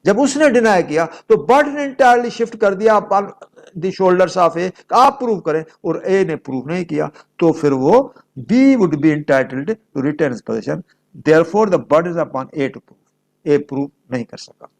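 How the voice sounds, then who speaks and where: Pakistani guy teaching law, pretty high voice, slow and deliberate, keeps asking then answering his own questions. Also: expressive, creaky. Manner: didactic, switches between Urdu and English, poses questions and answers them. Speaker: law lecturer. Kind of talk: explanatory lecture with worked examples.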